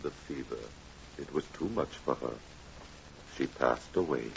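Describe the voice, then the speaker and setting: An actor playing a solemn village priest with a grave manner in old-time radio drama style. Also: male voice, a bass voice, measured pace, vocal fry, full character voice. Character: solemn village priest bearing sad news. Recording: dull, hissy vintage radio recording.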